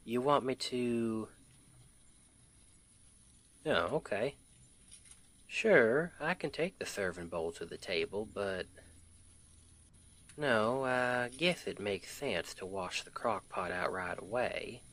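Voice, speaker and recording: A man performs a doting husband from an ASMR roleplay with a Southern US accent. His voice sits fairly low and moves at a very slow, easy pace. He talks in a folksy, tender way, playful and affectionate toward his partner. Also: animated, exaggerated voice. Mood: warm, contented. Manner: affectionate, unhurried, folksy, gently teasing. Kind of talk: ASMR roleplay, intimate domestic scene.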